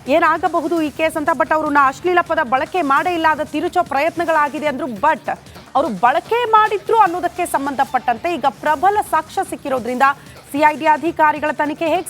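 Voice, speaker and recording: A woman's voice: high-pitched, normal speed, a bit bright, faint music behind. A woman presenting television news as anchor, with emphatic, dramatic delivery. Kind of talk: television news presenting, handing over to a reporter.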